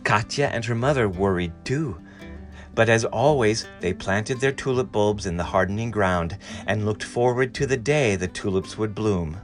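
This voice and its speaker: A man reading a storybook aloud in an expressive, dramatic and deliberate way. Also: lowish voice, faint music behind. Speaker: story reader.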